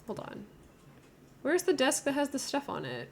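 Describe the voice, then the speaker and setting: A woman's voice: high voice, wildly swooping pitch, moderate pace, creaky, slight background noise. Chatty, giggly woman live-streaming a game. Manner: chatty, thinking aloud, giggly. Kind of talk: casual live-stream chat while building in a game.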